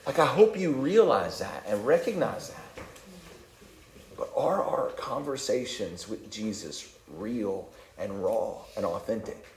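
Calm, low-voiced pastor in an echoey church. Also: male voice, measured pace, a little hiss or hum. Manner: conversational, self-deprecating, repeats words. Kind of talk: sermon. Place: church sanctuary.

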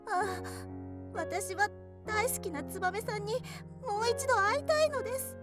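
A woman playing a tiny, gentle young girl, a wistful fairy-tale heroine, in a radio drama, speaking earnestly and childlike. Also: extremely high pitch, expressive, unhurried, over loud music. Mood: longing.

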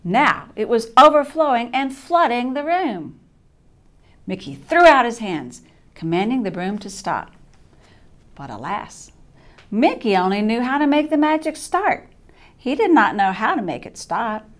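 An older woman reading a children's bedtime story aloud in an expressive, deliberate way, with dramatic emphasis. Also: fairly high pitch. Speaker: storybook reader, childcare coordinator. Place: small indoor set with curtain backdrop.

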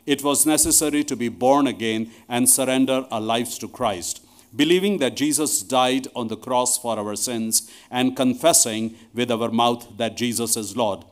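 A middle-aged man speaking to a congregation from a church lectern microphone. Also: medium pitch. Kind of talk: addressing a congregation, reading a testimony aloud. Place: large church hall, indoors.

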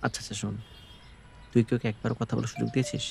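A man's voice: medium pitch, level tone, rapid-fire, vocal fry, over music. A young man with a Bangladeshi accent playing a caring, slightly awkward friend in a short-film drama, with a hesitant, wordy way of talking. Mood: serious. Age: young adult.

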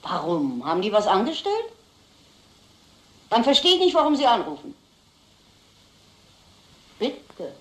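An actress playing a domineering, suspicious wife with a sharp, demanding manner. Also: female voice, medium pitch, wildly swooping pitch, measured pace, pauses often. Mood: suspicious, agitated. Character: domineering, suspicious wife questioning her husband. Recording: echoey film sound.

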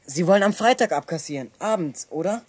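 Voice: medium pitch, animated, fast talker, breathy edge. A performer playing a resourceful, confident youngster who leads a group of friends in a radio play. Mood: scheming, confident.

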